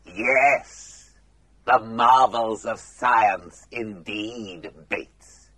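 A man's voice: lowish voice, pushed and bright, voice pushed down, full character voice. A man voicing an unseen, mocking male presence in a radio play, drawn out and eerie. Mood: taunting. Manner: slow, taunting.

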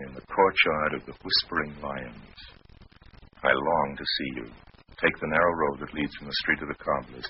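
Sardonic adventurer, extremely deep voice, unhurried radio storytelling, muffled vintage recording. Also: male voice, breathy edge. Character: wry, worldly male adventurer telling his story. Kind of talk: old-time radio drama narration. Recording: dull, faintly noisy old radio recording.

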